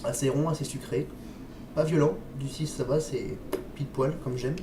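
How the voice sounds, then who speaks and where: A man's voice: mid-range voice, steady tone, moderate pace. A man with a slight cold chatting casually through a product review vlog, hesitant and friendly, often stopping to address his viewers. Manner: chatty, hesitant, says "les amis" and "hop" often. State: stuffy with a cold, coughing. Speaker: vape liquid reviewer. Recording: echoey room, distant mic, noisy.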